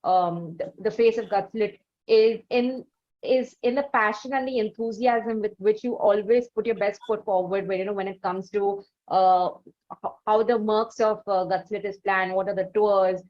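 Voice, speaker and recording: A woman's voice: mid-range voice, steady tone, moderate pace. Indian-accented woman interviewer, dull lo-fi sound. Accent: Indian English. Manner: wordy, hesitant, roundabout questioning. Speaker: interviewer, show host. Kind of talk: conversational interview.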